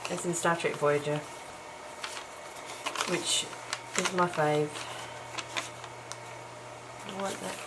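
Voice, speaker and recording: A middle-aged woman chatting casually while she crafts at home early in the morning, her voice croaky from just waking up, with lots of ums and small laughs. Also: lowish voice, steady tone, slow, long pauses. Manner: chatty and self-deprecating, with frequent ums and little laughs. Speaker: crafting vlogger. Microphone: camera's built-in mic. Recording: noisy home camera recording.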